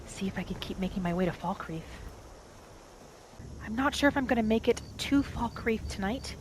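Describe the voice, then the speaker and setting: A woman playing a gentle, homesick wood elf wanderer who thinks aloud softly in a first-person video game roleplay. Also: medium pitch, lively intonation, moderate pace, noisy background, close to an everyday voice. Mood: musing, curious. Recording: music and rain behind the voice. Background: game music and rain.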